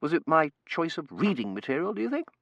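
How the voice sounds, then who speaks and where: A man voicing a whimsical young gentleman in an audiobook, declaiming in a bemused, poetic way. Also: a little high, big pitch swings, quick pace, dry recording, highs cut off, voice pushed up, heavily acted. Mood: theatrical.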